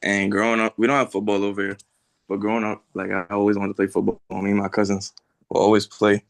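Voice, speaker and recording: Young man, gravelly voice. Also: lowish voice, completely flat delivery, fast, no echo. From the Bahamas. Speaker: college football player. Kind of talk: casual video call chat. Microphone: video call.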